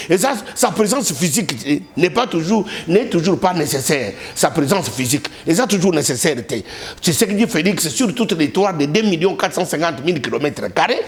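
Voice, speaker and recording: Higher-voiced Congolese man, emphatic and insistent. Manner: emphatic, repeats phrases insistently. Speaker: political commentator being interviewed. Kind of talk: heated political debate, mixing two languages.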